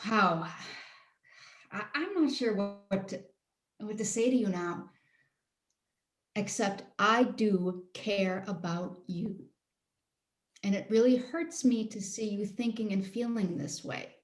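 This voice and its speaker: An actress playing an earnest, caring woman, halting as she searches for words, in a play reading over a video call. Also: mid-range voice, natural voice. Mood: worried, hurt. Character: earnest, caring woman pleading with a cynical friend. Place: roomy space, live Zoom call. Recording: roomy echo on video call.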